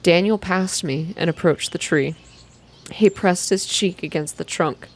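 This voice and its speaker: A woman softly reading a scripted first-person story in a measured ASMR style, as narrator. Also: on the low side. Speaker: reader, narrator.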